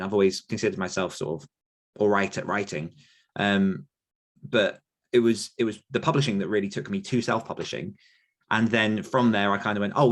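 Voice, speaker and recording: A man hosting a podcast, talking casually and rambling with many restarts and fillers as he answers questions. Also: lowish voice. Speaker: podcast host and author coach. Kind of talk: casual conversational podcast chat.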